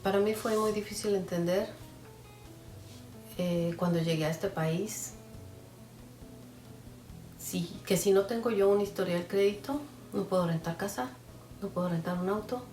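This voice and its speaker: A woman giving reflective interview answers in a measured, thoughtful way. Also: medium pitch, darkish tone, recorded from a distance, faint music behind. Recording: echoey room, off-mic.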